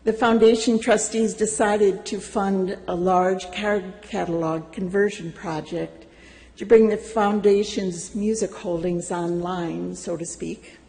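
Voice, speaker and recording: An older woman reading a prepared personal testimony to a church congregation, slow and deliberate with gentle humor. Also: medium pitch, fairly even intonation, gravelly, big-room sound, low-quality recording. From United States. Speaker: church member giving a testimony. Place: church sanctuary.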